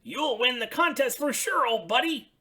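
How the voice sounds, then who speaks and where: A cheerful male puppet buddy from a children's storybook, put on by a woman. The voice is raised and lively, brisk and encouraging, with a husky edge. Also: high voice, voice pushed up, exaggerated voice.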